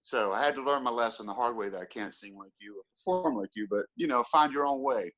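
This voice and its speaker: A man with a Southern American accent hosts a relaxed conversation in a voice of ordinary middle pitch. He talks in an easygoing, chatty way and openly shows his admiration for his guest. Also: fast talker. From Georgia, USA. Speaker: host. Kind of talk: casual interview.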